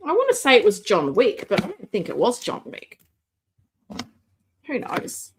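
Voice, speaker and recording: A high-voiced woman chatting on a live stream, her pitch bouncing all over as she talks. She reads out viewer comments and jokes about herself while she unboxes a figure. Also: wildly swooping pitch, unhurried, noisy background. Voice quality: self-described booming voice. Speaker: host of a live toy collecting show. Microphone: desk mic, moved away at times.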